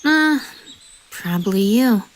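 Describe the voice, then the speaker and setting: A woman's voice: medium pitch, slow pace, noisy background. A woman playing a playful, easily flustered young male bard and doting single dad in a fan audio roleplay, speaking expressively with exasperated affection. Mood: teasing, affectionate. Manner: expressive, exasperated yet affectionate, sighs and chuckles.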